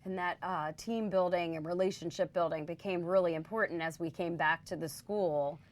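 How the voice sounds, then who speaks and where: A woman hosting an interview in a slightly low voice. She introduces guests and asks friendly, prepared questions. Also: fairly even intonation, normal speed. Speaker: interview host.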